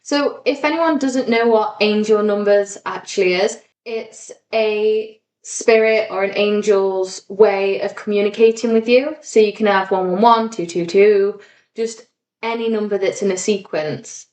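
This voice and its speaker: A woman's voice: mid-range voice, steady tone, measured pace, darkish tone. A woman co-hosting a podcast in casual, informal chat with an encouraging way of talking. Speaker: podcast co-host. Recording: echoey, roomy sound.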